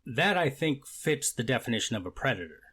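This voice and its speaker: Man playing a deliberate, skeptical judge, mid-pitched. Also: very animated, a bit bright, husky, exaggerated voice. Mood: musing, skeptical. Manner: formal, deliberate, hedging and self-correcting. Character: skeptical, deliberative male judge presiding over hearing. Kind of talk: readers theater of a court transcript.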